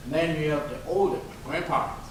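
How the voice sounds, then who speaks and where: A professor from Tanzania thinks aloud, reworking his phrases as he goes. He is recorded from a distance in a very echoey room. A clear low rumble sits underneath. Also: a little fast. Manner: thoughtful, rephrases as he goes. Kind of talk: sharing a personal story.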